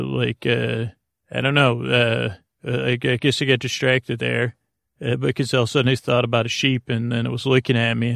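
A man hosting a podcast in a rambling, whimsical bedtime monologue, halting and stammering, trailing off mid-thought with deadpan, absurd asides. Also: mid-range voice, creaky, no echo, clean studio sound. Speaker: podcast host.

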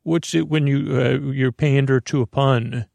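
Creaky-voiced man rambling soothingly. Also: mid-range voice, fairly even intonation, a little mellow, dry recording. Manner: soothing, meandering, halting with frequent stammers and self-interruptions. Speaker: podcast host. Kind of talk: rambling bedtime sleep podcast monologue.

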